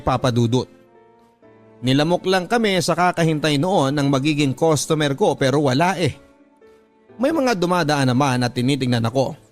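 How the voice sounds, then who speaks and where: Low-voiced Filipino man narrating dramatic stories. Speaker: radio drama narrator reading a letter sender's story. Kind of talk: dramatic scripted storytelling narration. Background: background music.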